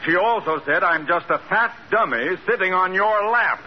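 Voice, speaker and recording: A blustery, jovial bank boss in an old American radio comedy. His delivery swings widely and sits a little high, with pompous, sarcastic flair. The recording is dull and lightly noisy. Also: animated, normal speed, a faint crowd. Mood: sardonic. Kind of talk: 1940s radio sitcom acting.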